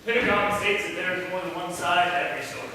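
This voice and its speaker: High-voiced man mock-reporting in a hall. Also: fast talker, sharp tone. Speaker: satirical news reporter. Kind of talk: student reader's theatre performance. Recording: echoey hall, mic far off, noisy.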